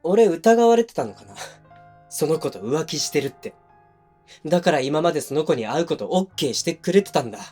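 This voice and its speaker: A man's voice: fairly high pitch, sing-song, fairly quick, mellow, soft background music. A male actor playing a defensive, hot-tempered young boyfriend in a voice drama, speaking bluntly and casually to the listener up close. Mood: hurt, accusing. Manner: blunt, casual masculine speech. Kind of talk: situation voice drama addressed to the listener. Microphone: close binaural microphone.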